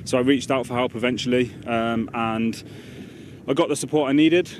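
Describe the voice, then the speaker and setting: A military veteran speaking slowly and candidly about his experience in an interview. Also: medium pitch, a little hiss or hum. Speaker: military veteran, team member. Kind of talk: personal interview testimony.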